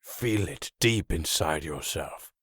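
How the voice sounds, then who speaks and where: Deep, swooping, wheedling wino played by a man. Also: expressive, a bit bright, husky, no echo, studio quality, exaggerated voice. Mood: coaxing. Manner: coaxing, drawn-out, folksy. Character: wheedling, folksy male wino, jovial schemer. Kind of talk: comic dub voice acting.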